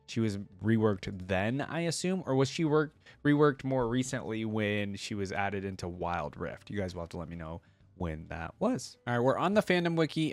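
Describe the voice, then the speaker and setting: A man giving casual, conversational reaction commentary as a video creator, thinking out loud and sometimes reading aloud. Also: mid-range voice, dry recording. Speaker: video creator reacting to game lore and skins.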